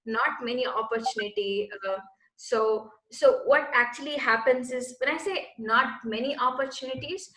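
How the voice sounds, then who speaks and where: A woman's voice: fairly high pitch, moderate pace, bright, forceful tone, slight background noise. Earnest young woman in an echoey room. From Bangalore, India. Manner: earnest, searching for words as she explains. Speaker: guest, space entrepreneur. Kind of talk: casual interview answers.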